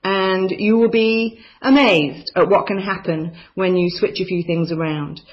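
A woman's voice: mid-range voice, unhurried. A woman from New Zealand tutoring a children's writing course, talking enthusiastically and chattily with frequent ums. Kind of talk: course overview narration over slides. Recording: slightly dull lo-fi voice-over.

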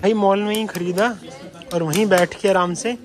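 Excited high-voiced man vlogging outdoors. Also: moderate pace. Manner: excited, chatty, says "yaar" often. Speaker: travel vlogger. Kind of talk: casual travel vlog narration.

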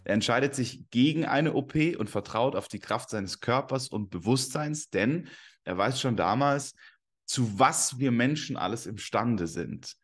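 A man's voice: mid-range voice, expressive, measured pace, breathy edge. A man in his thirties hosting a podcast over a video call, upbeat and enthusiastic as he introduces a guest and asks questions. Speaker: podcast host. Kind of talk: scripted intro, then interview questions. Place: room with large windows, indoors.

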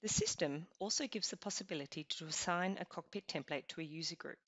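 A woman narrating a scripted software walkthrough, explaining each step in an even, instructional way. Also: on the low side, quick pace, dry recording. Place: voice-over over screen recording. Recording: dull lo-fi voice-over.

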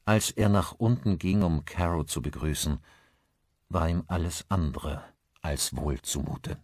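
A man narrating a thriller audiobook in a measured, articulate storytelling manner. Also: deep voice, darkish tone, no echo, studio quality.

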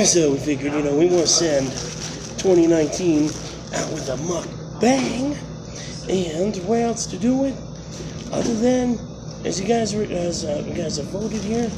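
A man's voice: on the high side, background noise. A man in his thirties with a cold, talking in a nasal voice as he rambles through a casual food review vlog on a close phone camera, indoors. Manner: rambling, many fillers and restarts. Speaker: vlogger. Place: indoors, small room.

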